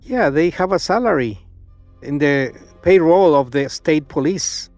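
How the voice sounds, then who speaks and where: A man answering interview questions in a halting, casual way, with many pauses and restarts. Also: fairly high pitch, expressive, a little mellow, no room sound, faint music behind. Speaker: interviewee, former church member.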